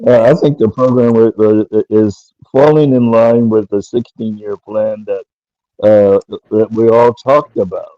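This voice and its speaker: A man's voice: fairly low pitch, steady tone, measured pace, dark tone, dry recording. A man in a casual political discussion, speaking hesitantly with many uhs. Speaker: panelist. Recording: dull lo-fi dry sound.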